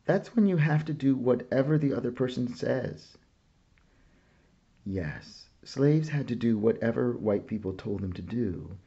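Male storybook reader, slow and gravelly, on a muffled home recording. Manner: slow, gentle reading with lifted pitch for child characters' lines. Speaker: picture book reader. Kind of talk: children's book read-aloud.

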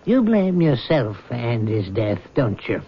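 An actor playing a measured, rational doctor in a 1970s radio drama. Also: male voice, mid-range voice, big pitch swings, breathy edge, heavily acted. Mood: clinical. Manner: measured, clinical. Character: calm rational male doctor, middle-aged, firm. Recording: dull old radio broadcast with faint hiss.

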